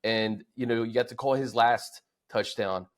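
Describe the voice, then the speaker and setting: A man's voice: mid-range voice, steady tone, normal speed. An American man hosting a sports show, talking conversationally and stressing key points as he recaps stats. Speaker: sports podcast host. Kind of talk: casual sports talk.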